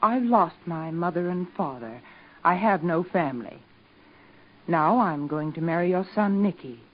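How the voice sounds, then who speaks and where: An actress in an old radio soap playing an intense, scheming young fiancée who speaks with cool, insinuating determination. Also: female voice, on the low side, lively intonation, unhurried. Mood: intense, determined. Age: young adult. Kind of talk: 1940s radio soap acting. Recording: dull old radio drama recording.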